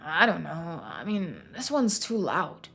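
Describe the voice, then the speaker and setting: A woman voicing a troubled young man trapped in his town, speaking haltingly and sometimes in a near whisper, for a story game. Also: lowish voice, very animated, creaky, fairly bright tone, a little hiss or hum, exaggerated voice. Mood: anxious, haunted.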